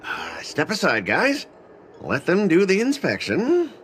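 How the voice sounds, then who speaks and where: An actor playing a pompous, blustering young corporate manager in a video game. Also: male voice, high-pitched, wildly swooping pitch, measured pace, fairly bright tone, slight background noise, exaggerated voice. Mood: resigned. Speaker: company manager.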